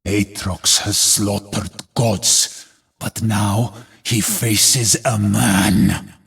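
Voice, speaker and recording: A battle-hardened video game warrior with a heavily rasping, gritty voice. He declaims slowly and solemnly, stoic and resolute, each poetic line weighed with grave purpose. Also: on the low side, fairly even intonation, a bit bright, exaggerated voice. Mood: solemn, rousing. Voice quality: gritty, husky. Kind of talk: video game character voice lines.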